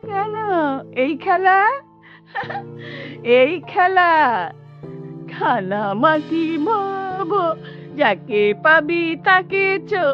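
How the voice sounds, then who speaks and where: Muddled old mum, childlike n repetitive, slow drifting speech, dull recording w music behind. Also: female voice, very high voice, sing-song, exaggerated voice. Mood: childlike delight. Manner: rambling, repetitive, sing-song. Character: confused, childlike elderly mother pining for her son. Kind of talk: Bengali radio drama acting.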